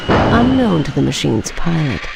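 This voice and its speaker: An actor narrating a video game hunt story in a measured, ominous and formal way. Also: mid-range voice, sing-song, gravelly. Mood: solemn, ominous. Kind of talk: scripted dramatic narration. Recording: noisy video game cutscene audio.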